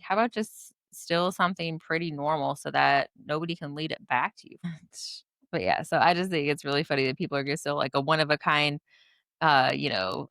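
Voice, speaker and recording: Gravelly woman chatting on a podcast. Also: low-pitched, fairly bright tone, no echo. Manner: chatty, laughs often, trails off. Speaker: podcast co-host. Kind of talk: casual chat.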